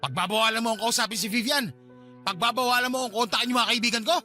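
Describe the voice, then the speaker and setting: Fast, high, irritable husband. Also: male voice, bright, forceful tone, faint music behind, heavily acted. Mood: angry, resentful. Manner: dismissive, argumentative. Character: defensive, resentful husband, curt and irritable. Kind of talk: Tagalog radio drama acting.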